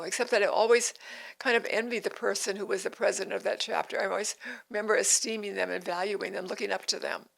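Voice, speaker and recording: Woman in her 80s hosting a faith podcast, gravelly voice, earnest and repetitive. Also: medium pitch, low-quality recording. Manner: earnest, repeats phrases, restarts sentences. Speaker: podcast host. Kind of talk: devotional podcast talk.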